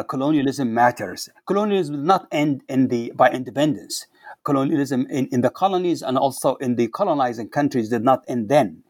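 A man speaking as an interviewed scholar, making emphatic academic points in a halting, searching way with repeated words. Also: mid-range voice, no echo, studio quality. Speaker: scholar and author being interviewed.

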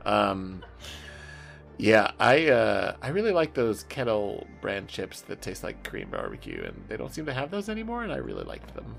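A man's voice: lowish voice, wildly swooping pitch, moderate pace, vocal fry, soft background music. A man hosting a podcast in casual, joking chat, with an irreverent, sweary way of talking. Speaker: podcast host.

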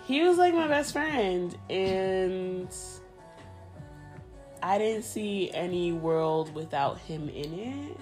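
A woman's voice: mid-range voice, lively intonation, slow pace, a little mellow, soft background music. A woman guest from New Jersey whose first language is Spanish, chatting casually with lots of "like" and laughter. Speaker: podcast guest. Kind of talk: casual interview.

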